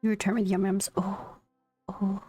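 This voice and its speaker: A woman's voice: medium pitch, flat delivery, moderate pace, dark tone, husky, no echo. A young woman game streamer chatting casually and reacting in a playful, giggly manner. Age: young adult. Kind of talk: casual livestream chat and reactions. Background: faint game music.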